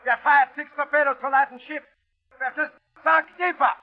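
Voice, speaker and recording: A man's voice: very high voice, steady tone, fairly quick. An actor playing a frantic man aboard a doomed submarine in a 1950s radio drama. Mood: despairing. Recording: tinny, narrow-band old radio recording.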